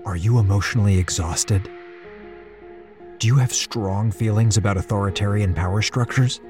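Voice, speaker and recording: A man's voice: lowish voice, moderate pace, music in the background. A man reading a scripted podcast promo in the style of a mock medical advert. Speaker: promo announcer.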